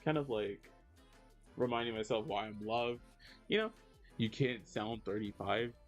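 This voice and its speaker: A man in his thirties streaming as a digital artist, chatting casually with his viewers while he draws. He rambles and uses lots of fillers, and his voice jumps up in pitch when he reacts to the chat. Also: animated, unhurried, faint music behind. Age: 30s.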